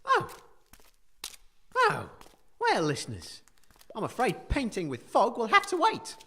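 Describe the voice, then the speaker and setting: A man's voice: very high-pitched, wildly swooping pitch, slow pace, pushed and bright, slightly rough, full character voice. A man playing a naive, eager radio presenter in a scripted audio comedy, earnest and a little hesitant. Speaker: radio host. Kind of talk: scripted audio comedy, live-broadcast narration.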